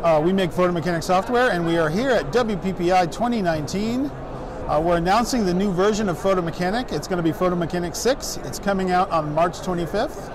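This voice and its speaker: A middle-aged man at a trade show booth explaining his company's photo software, talking steadily with frequent "um" fillers. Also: high-pitched. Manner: brisk explaining, many "um" fillers. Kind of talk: trade show product pitch. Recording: noisy trade show floor. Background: crowd chatter of the show floor.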